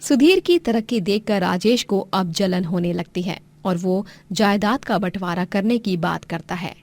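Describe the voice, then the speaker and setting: A woman's voice: mid-range voice, lively intonation, measured pace. A woman narrator reading a scripted moral story.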